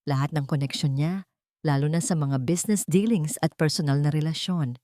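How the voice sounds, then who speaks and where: A woman voicing a calm, doubtful, wealthy businessman in a narrated story. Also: low voice, moderate pace, no echo, studio quality, natural voice. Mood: guarded. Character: wary, calm, wealthy businessman, arrogant and shrewd.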